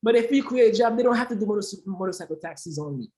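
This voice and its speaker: Woman from Haiti, founder on a discussion panel, emphatic and impassioned, mid-pitched voice. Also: animated, rapid-fire, dark tone. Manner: emphatic, repeats phrases for stress. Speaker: aid organization founder, panel guest.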